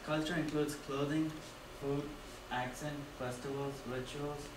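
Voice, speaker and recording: A young man from India gives a casual class talk, full of fillers, in a flat, even voice. He stands well away from the camera in a roomy, echoing classroom, with a steady hiss under him. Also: on the high side, unhurried. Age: young adult. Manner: casual, filler-heavy, loose phrasing. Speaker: student presenter. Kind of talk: class presentation. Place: dim classroom with projector. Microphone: far camera. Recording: echoey room from far off with noise.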